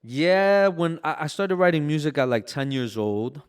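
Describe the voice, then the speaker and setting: A man in his twenties from Brooklyn, New York, a music artist recalling memories in a relaxed interview, peppering his talk with "like" and "you know". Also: a little high, normal speed, clean tone. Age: 20s. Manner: relaxed, reflective storytelling with frequent "like" and "you know". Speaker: bachata artist, guest. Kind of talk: casual interview conversation.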